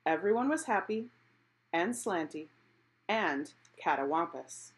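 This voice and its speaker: Animated woman reading a children's storybook. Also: fairly low pitch, measured pace. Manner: animated, acting out characters' exclamations. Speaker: picture book reader. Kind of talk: expressive children's storybook read-aloud.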